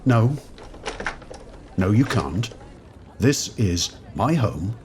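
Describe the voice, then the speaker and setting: An actor playing a troubled, guilt-ridden middle-aged time traveller with a husky voice, in an audio drama. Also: male voice, fairly low pitch, sing-song, drawn-out words, a little mellow, slight background noise, exaggerated voice. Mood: guarded, indignant. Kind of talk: audio drama diary monologue and dialogue.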